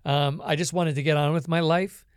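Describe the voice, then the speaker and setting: A Canadian man, a podcast guest, telling his life story in a relaxed, reflective way with many small pauses. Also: fairly high pitch, smooth, dry recording, studio quality. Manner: reflective storytelling with frequent ums. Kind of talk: conversational interview.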